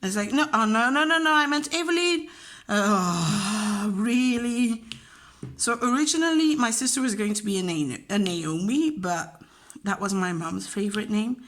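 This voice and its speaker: A woman's voice: medium pitch, lively intonation, measured pace, a bit bright. Chatty Flemish woman with laughs. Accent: Flemish Dutch. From Belgium. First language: Dutch. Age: young adult. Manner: chatty, rambling, laughs often, many fillers. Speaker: vlogger and teacher. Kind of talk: casual chat answering a question tag.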